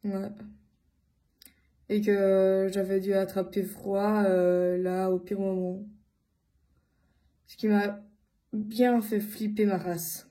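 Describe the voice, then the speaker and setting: A woman from Belgium giving a casual personal account to her followers, speaking in a halting way with many filled pauses. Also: medium pitch, little pitch movement, mellow. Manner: hesitant, halting delivery with frequent long filled pauses. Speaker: vlogger addressing followers.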